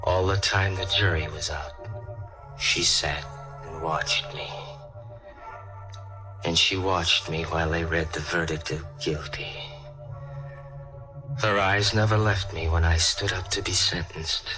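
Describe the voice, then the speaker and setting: An actor playing a condemned, immortal murderer in melodramatic 1940s radio style, bitter and haunted, with dramatic pauses. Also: male voice, low-pitched, fairly even intonation. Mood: haunted, brooding. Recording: old radio recording with organ music.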